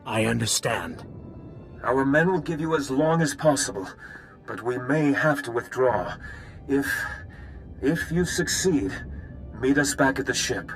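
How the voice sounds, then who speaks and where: An actor playing a weary, dutiful resistance soldier with a gravelly voice in a video game. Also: male voice, mid-range voice, animated, unhurried, pauses often, soft background music, low-quality recording. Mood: grim resolve. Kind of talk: video game cutscene acting.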